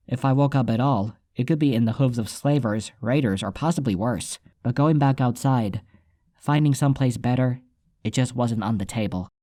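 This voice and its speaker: A man's voice: medium pitch, warm tone, no echo, clean studio sound. A man reading scripted first-person story narration as an audiobook narrator.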